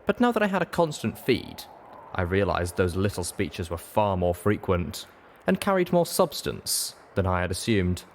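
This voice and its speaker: Male story narrator: low register, expressive swings in pitch, scripted first-person reading. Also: moderate pace, a little hiss or hum. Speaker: audiobook narrator.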